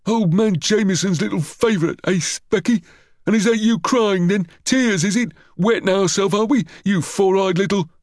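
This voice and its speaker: Schoolboy bully for audiobook, played by a man: high voice, cocky, malicious, mocking jeers. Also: moderate pace, no room sound, studio quality. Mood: malicious crowing. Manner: mocking, jeering taunts.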